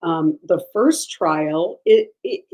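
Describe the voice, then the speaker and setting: A woman hosting a true crime show, hoarse and gravelly from a lingering cold, going over a case with frequent ums and uhs. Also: on the low side, takes it slowly, mellow. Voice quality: gravelly, froggy voice. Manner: careful, with many ums and uhs. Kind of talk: casual case update.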